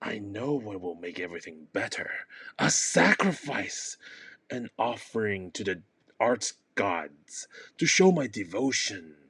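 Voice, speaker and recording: A man's voice: lowish voice, sing-song, a little slow, a bit bright, breathy edge, dry recording, heavily acted. A man playing a manic, lovelorn painter in a stage comedy, melodramatic and stammering with feverish passion. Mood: manic resolve.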